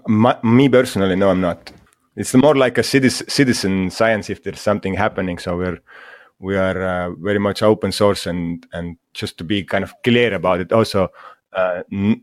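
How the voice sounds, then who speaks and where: A man from Estonia, a guest in an interview, speaking English in a halting way with many fillers and restarts. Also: low voice, dry recording, studio quality. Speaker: guest, mushroom school founder. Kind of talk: casual interview talk.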